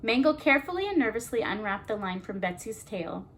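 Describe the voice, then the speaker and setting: A woman reads to children as a storytime host, her voice at a middle pitch. She narrates expressively and slips into casual asides. A little ambient noise sits behind her. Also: big pitch swings, fairly quick, a bit bright.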